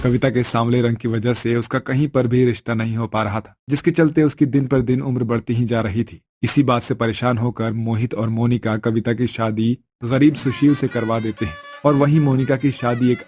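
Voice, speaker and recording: A man's voice: medium pitch, a little mellow. A man reading scripted narration of a family moral story as a storyteller.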